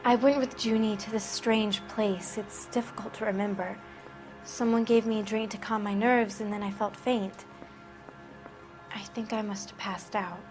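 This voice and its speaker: A woman's voice: medium pitch, steady tone, moderate pace, over music, lightly acted. An actress voicing a naive, frightened teenage girl who speaks in a timid, pleading way.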